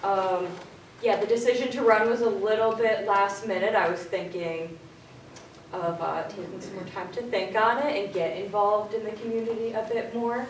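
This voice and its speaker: A woman's voice: mid-range voice, fairly even intonation, normal speed, a little hiss or hum. A young woman running for local office, answering casually with lots of fillers. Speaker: candidate. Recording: echoey hall, distant mic.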